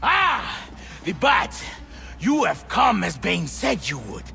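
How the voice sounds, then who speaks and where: A man's voice: sits high, very animated, slow, vocal fry, heavily acted. An actor playing a cocky, furious henchman lieutenant in a video game, taunting and bellowing theatrically. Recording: loud music over the voice.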